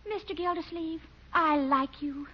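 An actress playing a naive, dreamy young secretary in a 1940s radio comedy, speaking earnestly and simply. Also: female voice, very high-pitched, steady tone, a little slow, a little hiss or hum. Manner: earnest, simple, sweetly matter-of-fact. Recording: dull old radio broadcast.

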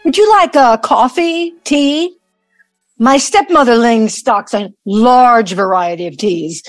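A woman's voice: a little high, animated, takes it slowly, no room sound, faint music behind. A woman playing a wary, proud tycoon's daughter in an audio play, guarded and proper in manner. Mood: hesitant, polite.

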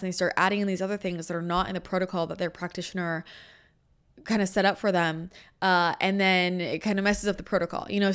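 A woman in her thirties talking alone on her podcast. Her voice sits low and comes out smooth, with a slight scratchiness today. She rambles casually and corrects herself as she goes. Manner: chatty, rambling, with self-corrections and fillers. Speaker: podcast host, channel and energy healer. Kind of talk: casual solo podcast talk.

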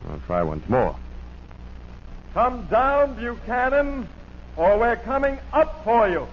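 A man's voice: very high voice, wildly swooping pitch, slow pace, heavily acted. An actor playing a resolute, commanding lawman in a 1940s radio drama. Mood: calling out. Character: resolute lawman leading a manhunt. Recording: muffled noisy 1940s radio recording.

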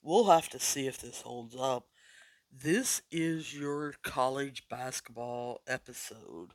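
A man's voice: medium pitch, no echo. A man hosting a sports betting podcast in a casual, chatty way while recovering from losing his voice. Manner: casual, chatty, deliberate picks. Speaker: podcast host.

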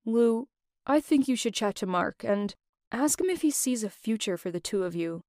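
A woman voicing a young man who is a gentle, caring friend. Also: a little high, expressive, moderate pace, no echo, studio quality, lightly acted. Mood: earnest. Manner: soft, earnest, reassuring.

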